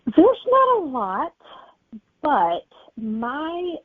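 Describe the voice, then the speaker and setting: A woman's voice: high voice, huge pitch swings, drawn-out words, no room sound. A woman author chatting casually as an interview guest, telling stories about her writing. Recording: tinny narrow-band telephone-like audio.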